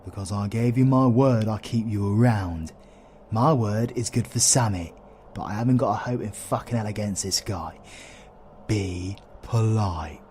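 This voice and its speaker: Man playing a slow-talking cocky British supervillain. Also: on the low side, darkish tone, a little hiss or hum, close to an everyday voice. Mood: urgent, worried. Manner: irreverent, sweary, colloquial. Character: cocky, foul-mouthed male dark-magic supervillain, protective. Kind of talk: audio roleplay acting.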